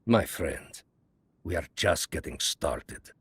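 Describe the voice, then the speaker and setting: An actor playing a calm, calculating Soviet spymaster, speaking in a measured, ominous and grandiose way in a video game cutscene. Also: male voice, very deep voice, vocal fry, husky, dry recording, heavily acted. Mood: cold, resolute.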